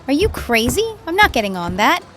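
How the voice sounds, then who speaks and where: A woman plays a cautious young friend in a horror audio drama. Her voice sits a little high and sounds disapproving and pleading. Some music runs underneath. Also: sing-song, brisk. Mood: alarmed. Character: worried, sensible young woman, friend of daredevil.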